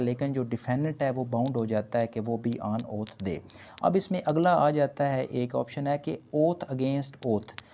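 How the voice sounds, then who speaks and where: A man's voice: medium pitch, warm tone. A man with a Pakistani accent lecturing didactically, reading out points and then explaining them with repetition, switching between two languages. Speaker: law lecturer.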